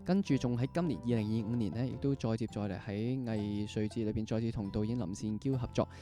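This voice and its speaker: A man's voice: lowish voice, quick pace, warm tone, faint music behind. A man with a Hong Kong accent hosting a conversational interview podcast. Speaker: podcast host.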